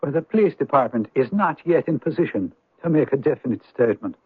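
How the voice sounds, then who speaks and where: Teasing police sergeant, swooping pitch. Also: male voice, expressive, fast, husky, no echo. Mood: probing, puzzled. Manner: mock-official, wry, questioning. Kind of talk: old-time radio drama acting. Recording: dry vintage radio recording, muffled.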